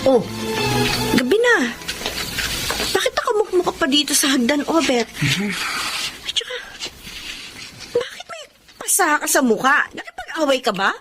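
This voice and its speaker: Very high-pitched, emotive Filipino daughter. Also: female voice, very animated, normal speed, pauses often, over music. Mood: concerned. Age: young adult. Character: burdened, earnest eldest daughter, grateful yet reproachful. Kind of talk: Filipino radio drama acting. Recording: noisy radio drama track.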